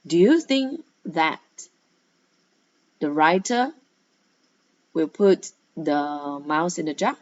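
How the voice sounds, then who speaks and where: A woman's voice: deep voice, lively intonation, slow pace, long pauses. A woman teaching a guided read-aloud lesson, asking questions and stressing key words as she explains. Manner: instructive, rhetorical questions with emphatic key words. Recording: dull, lo-fi sound.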